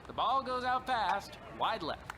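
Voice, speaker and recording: An actor playing an excitable, florid old-time radio baseball announcer in a parody of sports commentary. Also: male voice, very high voice, unhurried, soft background music, exaggerated voice. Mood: suspenseful. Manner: florid, suspenseful.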